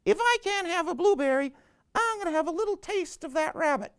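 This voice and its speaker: Man putting on a very high, whiny little female mosquito. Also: animated, a little fast, a bit bright. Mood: fed up. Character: tiny fed-up female mosquito, whiny and greedy.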